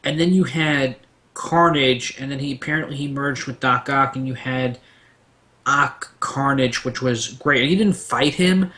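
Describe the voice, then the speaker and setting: A man's voice: mid-range voice, measured pace, bright, forceful tone. A man on a discussion panel, recounting things casually and enthusiastically.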